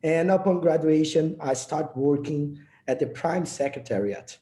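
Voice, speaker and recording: Young Brazilian-accented man, earnest session presenter, mellow voice, slightly raised pitch. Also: measured pace, roomy sound, slight background noise. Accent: Brazilian Portuguese. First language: Portuguese. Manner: earnest, with small slips and restarts. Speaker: project leader presenting a session. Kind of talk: prepared presentation speech.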